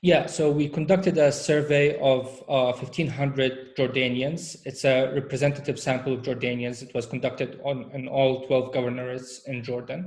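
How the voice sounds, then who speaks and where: A man, a researcher, answering interview questions in a measured way, with frequent fillers and restarts. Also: medium pitch, steady tone, a little mellow. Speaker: researcher guest.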